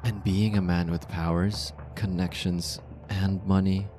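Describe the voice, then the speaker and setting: Possessive mafia boss played by a man, low voice, slow intimate command, ASMR roleplay over prominent music. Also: little pitch movement, a little mellow. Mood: possessive, coaxing. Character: possessive male mafia boss, controlling yet tender bridegroom. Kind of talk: ASMR romantic roleplay monologue.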